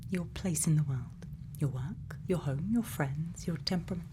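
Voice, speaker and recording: A woman doing an ASMR roleplay as a gentle scientist, speaking in a breathy whisper and soft murmur, slow and deliberate with long pauses. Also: low voice, background noise. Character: gentle scientist questioning a robot friend. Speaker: ASMR performer.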